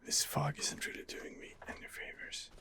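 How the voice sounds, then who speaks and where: A man hosting an ASMR game playthrough, muttering hesitant commentary in a breathy whisper. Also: normal speed. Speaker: gaming video host.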